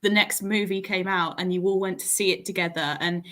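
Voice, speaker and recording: A woman in her late twenties chatting casually as a panelist, telling stories in an animated, self-mocking way. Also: on the low side, a little fast. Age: late 20s. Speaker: panelist in a writers' livestream. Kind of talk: casual chat.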